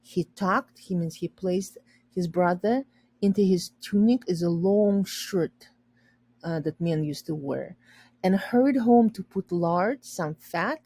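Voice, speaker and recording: A woman teacher reading a storybook aloud, pausing to explain and rephrase words simply for learners. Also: fairly low pitch, dark tone, breathy edge.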